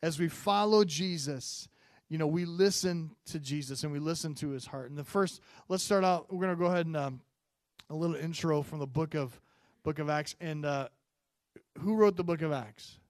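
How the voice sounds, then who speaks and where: A man's voice: normal speed, dry recording, studio quality. Man preaching on a handheld mic. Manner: conversational preaching with many fillers, booming on key points, hushed in prayer. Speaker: pastor. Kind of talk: sermon. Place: church stage, medium-sized room.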